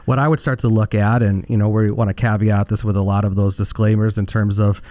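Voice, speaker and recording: A man's voice: lowish voice, flat delivery, fairly quick, mellow, no echo. A man working as a financial advisor, answering questions in an explanatory, conversational way with informal phrasing. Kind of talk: conversational expert commentary.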